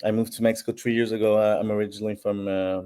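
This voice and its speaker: A man's voice: fairly low pitch, level tone, fast talker, mellow, clean tone. A man from Peru, a company founder answering interview questions in an explanatory way with many fillers and restarts. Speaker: founder of a bitcoin platform.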